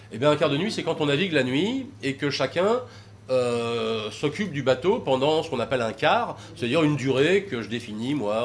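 A man's voice: medium pitch, slight background noise. A man talking informally about taking troubled youths sailing, rambling with many hesitations and fillers. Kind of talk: informal talk with audience questions.

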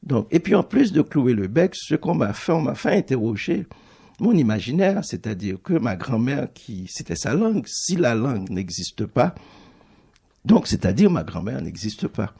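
A man's voice: medium pitch, big pitch swings, normal speed, creaky, warm tone, husky. A man whose first language is Creole, a book publisher giving a thoughtful public talk, often stopping to restart his phrases.

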